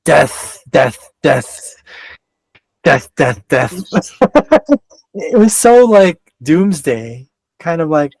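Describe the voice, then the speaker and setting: A man's voice: on the high side, sing-song, very slow, long pauses, no room sound, poor audio. Rambling man with a Canadian-West Coast accent. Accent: mixed Canadian and West Coast American. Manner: rambling, self-interrupting, digressive. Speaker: astrologer. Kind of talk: casual chart reading.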